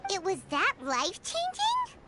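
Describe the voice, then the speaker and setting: A woman voicing a tiny, childlike, excitable fairy companion in a video game, with a squeaky voice. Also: unhurried, loud music behind the voice, full character voice. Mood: surprised. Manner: childlike, speaks of herself in third person.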